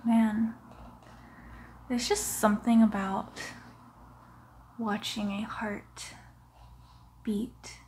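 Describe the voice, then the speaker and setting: Young woman playing a creepy vampire doctor, hushed and coaxing, drawn-out slow delivery, muffled, ASMR roleplay, faint background noise. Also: a little high, flat delivery. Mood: eerily delighted. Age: young adult. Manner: slow, hushed, often whispering, soothing, deliberate. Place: living room, lying on a sofa.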